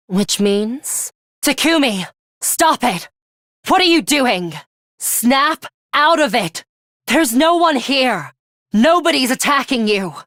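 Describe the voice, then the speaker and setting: An actress playing a stern, cool-headed young woman fighter in a video game, with a blunt, measured and analytical way of talking. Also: medium pitch, no echo, studio quality. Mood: serious, analytical, then urgent, alarmed. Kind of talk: dramatic English video game voice acting.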